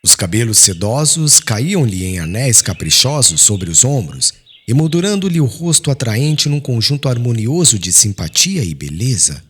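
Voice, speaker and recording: A man's voice: medium pitch, sing-song, normal speed, a bit bright, husky. A man reading an audiobook as a solemn, formal and dramatic literary narration.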